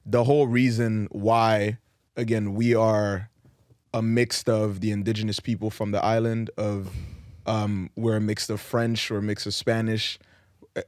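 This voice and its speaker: A man's voice: on the low side, little pitch movement, a little slow, a little mellow, no echo, studio quality. A man from Haiti co-hosting a football podcast, making his point earnestly and at length in casual chat. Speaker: podcast co-host.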